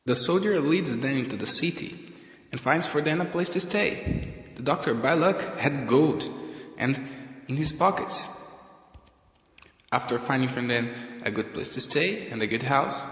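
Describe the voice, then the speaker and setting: A man's voice: mid-range voice, lively intonation, measured pace, pauses often, a bit bright. A man narrating a scripted audio drama story. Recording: echoey room with long reverb.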